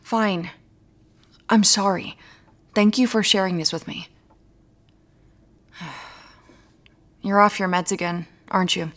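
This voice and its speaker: Amateur sleuth: mid-pitched, dry and evasive, quietly determined, naturalistic audio-drama read. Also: female voice, fairly even intonation, takes it slowly, long pauses. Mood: subdued. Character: guarded, wry amateur woman detective, quietly determined.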